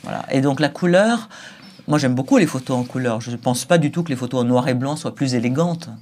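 A man speaking as an interview guest on a talk show. His delivery is emphatic and forceful, and short laughs punctuate his points. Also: mid-range voice, expressive, a little fast. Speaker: guest, school figure.

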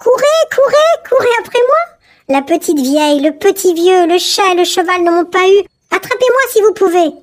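A woman's voice: very high voice, expressive, moderate pace, voice pushed up. A woman voicing a cheeky little gingerbread boy in a storybook reading, with a boastful, taunting sing-song manner. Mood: taunting gleeful.

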